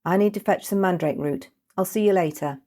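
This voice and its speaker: A woman voicing an excitable, easily exasperated young trainee witch in a storybook. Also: lowish voice, brisk, pitched above the performer's own voice, natural voice. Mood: teasing, busy.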